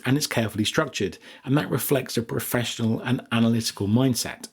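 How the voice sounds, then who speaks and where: A man's voice: medium pitch, darkish tone, husky. A man with a British accent presenting a scripted writing lesson as podcast host, with chatty asides. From Essex, England.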